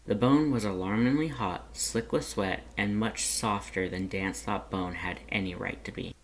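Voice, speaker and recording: A narrator reading scripted fan fiction aloud in an expressive, dramatic way. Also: low-pitched, moderate pace, slight background noise, rough recording.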